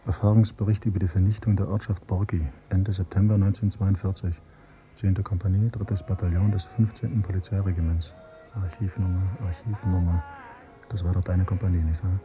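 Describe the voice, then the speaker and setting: A male actor playing a grown son, a teacher, who questions and narrates in a measured, probing way in a German radio drama. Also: low-pitched, flat delivery, mellow, muffled recording, soft background music, close to an everyday voice. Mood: sober, detached. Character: accusing grown son, a teacher probing his father's past.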